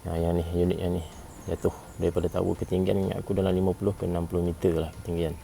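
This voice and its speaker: A man's voice: sits low, level tone, quick pace, warm tone, a little hiss or hum. A Malaysian man giving a casual, informal product review, often repeating himself and tagging phrases with "eh". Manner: informal, repeats himself, frequent "eh" tags and throat clearing. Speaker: gadget reviewer.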